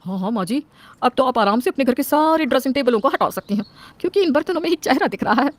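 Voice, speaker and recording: A woman playing a sharp-tongued, shrewd older housemaid in a family story, saucy and always talking back. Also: sits high, animated, fast talker, no room sound. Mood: cheeky.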